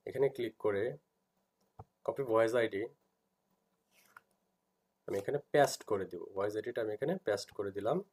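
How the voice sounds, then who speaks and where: A man with a Bangladeshi accent giving an informal software tutorial, explaining step by step and repeating himself as he teaches. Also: fairly low pitch, animated, moderate pace, pauses often, slightly rough.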